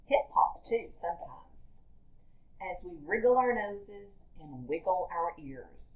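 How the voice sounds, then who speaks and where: A woman teacher reading a picture book aloud to young children in a deliberate, expressive storytelling manner. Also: medium pitch, poor audio.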